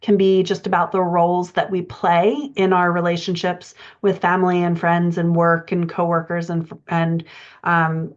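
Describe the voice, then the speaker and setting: A woman coaching writers in an explanatory lecture style, speaking haltingly with pauses as she thinks through her points. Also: lowish voice, flat delivery. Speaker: writing coach presenting a podcast lesson. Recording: dull, band-limited audio.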